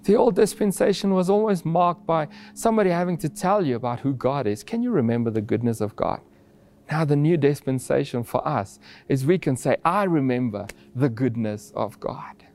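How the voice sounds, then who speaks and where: A man whose first language is Afrikaans, preaching an earnest sermon with restarts and soft laughs. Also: fairly high pitch, moderate pace.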